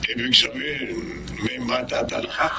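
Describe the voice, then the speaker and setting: An actor playing a shady, commanding crime boss in a radio drama. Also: male voice, medium pitch, fairly even intonation, normal speed, background noise, close to an everyday voice. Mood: calm, commanding. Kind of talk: Tagalog radio drama acting.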